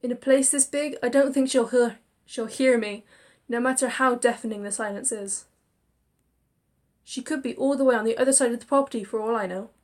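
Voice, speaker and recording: Narrator: woman, fairly high voice, expressive and chatty for a spooky story. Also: a little fast. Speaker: reader and narrator. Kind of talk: narrating a visual novel with inner monologue.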